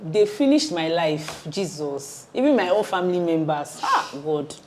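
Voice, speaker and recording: A woman's voice: lowish voice, sing-song, a little slow, a little hiss or hum. A woman, chatting frankly and casually as a vlogger on a panel. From Ghana and Nigeria. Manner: frank, outspoken.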